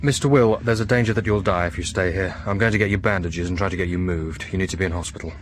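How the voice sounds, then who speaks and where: A man's voice: low voice, quick pace, slight background noise, lightly acted. An actor playing a compassionate, earnest English clergyman in a radio drama. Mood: concerned. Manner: earnest, gentle but firm.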